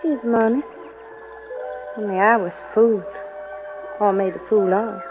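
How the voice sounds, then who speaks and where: An actress playing a flirtatious, wistful wife in a 1950s radio drama, with a coy, theatrical manner. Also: female voice, medium pitch, steady tone, measured pace, lightly acted. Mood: bitter, wistful. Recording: tinny old radio recording with music.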